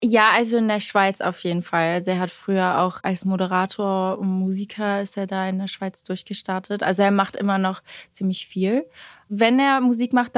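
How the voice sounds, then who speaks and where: A woman's voice: medium pitch, smooth, dry recording, studio quality. A young woman singer with a Swiss German accent, chatting casually in an interview and laughing often. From Portugal, raised; moved to Switzerland. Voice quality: bubbly, laughs often, many fillers. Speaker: singer guest.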